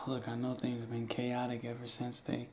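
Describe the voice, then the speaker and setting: Young man, half-whispered, laid-back, gravelly, close to the camera, small room. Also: mid-range voice, completely flat delivery, moderate pace, noisy background. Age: young adult. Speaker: ASMR roleplay creator. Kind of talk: ASMR roleplay, spoken to the listener. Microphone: camera close to face.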